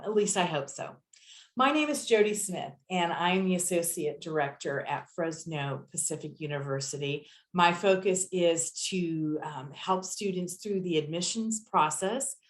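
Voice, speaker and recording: Hesitant woman moderator in an echoey room. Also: lowish voice. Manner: measured, hesitant with many "um" fillers. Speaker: admissions associate director moderating a panel. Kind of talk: moderating an online student panel.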